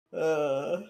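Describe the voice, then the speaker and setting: Male roleplay player, unhurried and higher-pitched, making casual remarks on a faintly noisy mic. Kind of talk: casual game talk.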